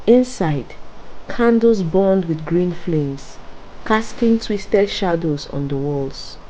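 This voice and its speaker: A woman narrating a scary bedtime story as a dramatic storyteller, with suspenseful, deliberate pauses. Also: lowish voice, dark tone, slight background noise, rough recording.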